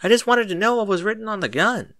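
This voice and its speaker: A young orphan boy played by a man, sulky and easily indignant. He talks in a pouty, questioning way with a lively, bright tone, as in a storybook reading. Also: sits high, fast talker, dry recording, clean studio sound, pitched above the performer's own voice, exaggerated voice. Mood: curious, pouty.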